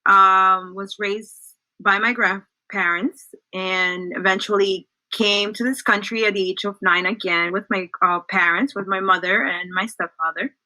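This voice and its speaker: A woman's voice: mid-range voice, steady tone, unhurried, pushed and bright, no room sound, rough recording. A young woman from El Salvador, a native Spanish speaker, chatting as an interview guest and often checking in with "right?". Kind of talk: casual storytelling.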